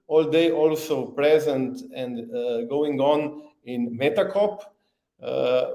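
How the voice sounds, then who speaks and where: A man's voice: mid-range voice, darkish tone, reverberant. A man joining a conference over a video call, speaking earnestly with many fillers. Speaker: presenter. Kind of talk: remote conference talk.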